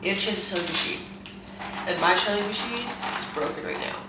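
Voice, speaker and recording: A woman's voice: mid-range voice, brisk. A young woman with a stuffed-up nose chatting casually and rambling to her vlog viewers in a self-deprecating way. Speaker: vlogger. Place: indoors at home, in a kitchen. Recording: echoey room, distant mic, loud noise.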